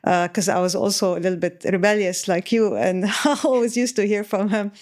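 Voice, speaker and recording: Animated woman host with ready laughs. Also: mid-range voice, fairly quick. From Lebanon. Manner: animated, laughs often while storytelling. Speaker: podcast host. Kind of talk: conversational interview.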